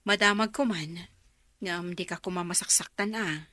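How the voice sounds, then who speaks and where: A woman's voice: on the low side, moderate pace, sharp tone, dry recording, studio quality. A woman with an Ilocano Filipino accent acting a frank, inquisitive employer in a radio drama, in a conversational manner. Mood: frank, curious. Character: Eva, frank questioning woman giving Cora laundry work.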